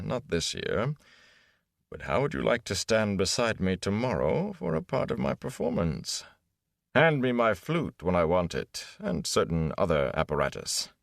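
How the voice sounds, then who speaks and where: A man doing a flamboyant old gleeman who booms like he's in a great hall and sneers at gossip. His voice swoops wildly up and down. The sound is dry and close. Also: creaky, exaggerated voice. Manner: grand, booming, scornful asides. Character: flamboyant older male gleeman, theatrical and scornful.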